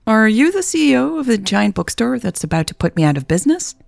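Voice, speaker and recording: A woman's voice: a little high, big pitch swings, quick pace, gravelly, a little mellow, dry recording, studio quality, pitched above the performer's own voice, heavily acted. A woman voicing a shy, wry female bookshop owner who is easily flustered, in a romance audiobook. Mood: nervous rambling.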